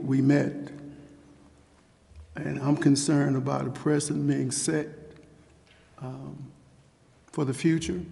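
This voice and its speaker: A man speaking as a city council member, insistent and deliberate, raising questions at a formal public meeting. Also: a little high, creaky, dark tone. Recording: reverberant meeting chamber.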